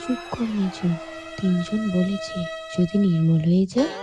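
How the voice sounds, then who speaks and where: A woman's voice: fairly low pitch, normal speed, music in the background, close to an everyday voice. A woman playing a bossy eldest daughter-in-law in a cartoon village family drama, on a muffled recording.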